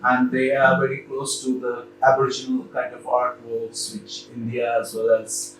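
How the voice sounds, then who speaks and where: A man's voice: mid-range voice, monotone, normal speed, fairly bright tone, faint music behind. Brief: talkative male interviewer who finishes the guest's sentences, mid-range voice, mic set far off in a very echoey room. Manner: wordy, talks over and finishes the guest's sentences. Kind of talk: casual interview. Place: art gallery.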